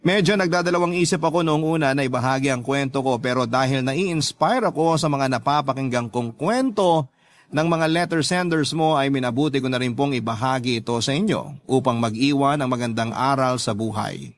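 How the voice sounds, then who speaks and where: Guy narrating a letter for a radio drama, normal mid pitch, scripted storytelling. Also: fairly quick, dry recording. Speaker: narrator reading a listener's letter.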